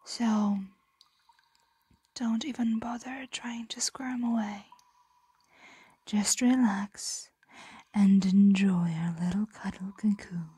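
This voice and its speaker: A woman playing a possessive, teasing ancient dragon in a whispered ASMR roleplay, breathy, lingering, doting and predatory. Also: mid-range voice, fairly even intonation, slow pace, pauses often, warm tone, no echo. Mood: smug, coaxing.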